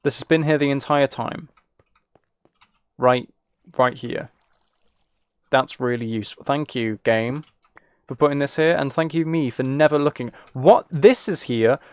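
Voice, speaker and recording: A man's voice: mid-range voice, measured pace, smooth, dry recording. A British man streaming a game and chatting casually with viewers, musing aloud in an informal, rambling way. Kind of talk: casual chat while playing a game.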